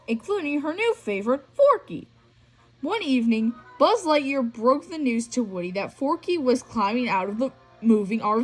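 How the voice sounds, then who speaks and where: High, very animated woman reading a story. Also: low-quality recording. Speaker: storybook reader. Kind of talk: scripted read-aloud narration of a children's story. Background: music.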